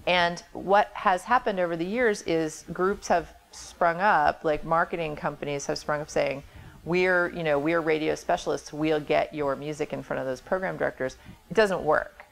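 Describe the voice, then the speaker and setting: Female interview guest, forties, low gravelly register, explains in an informal conversational way. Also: normal speed, rough recording. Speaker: record label president, interview guest. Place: small office indoors. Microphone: clip-on lapel mic.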